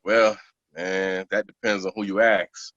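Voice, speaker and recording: A man's voice: sits low, flat delivery, measured pace, fairly bright tone, lo-fi sound, soft background music. A man playing a disgraced former star who returns home, sounding rueful and subdued, in a radio drama.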